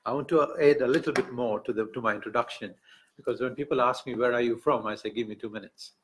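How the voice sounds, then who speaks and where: A man from Tanzania giving a conference speech, halting and self-correcting, with light humor. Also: mid-range voice, slight background noise. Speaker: speaker at an academic book event.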